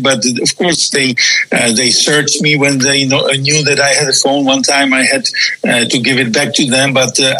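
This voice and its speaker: Halting man with a Czech accent. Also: medium pitch, pushed and bright, low-quality recording. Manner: halting, many fillers and restarts. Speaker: guest. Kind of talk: testimony interview.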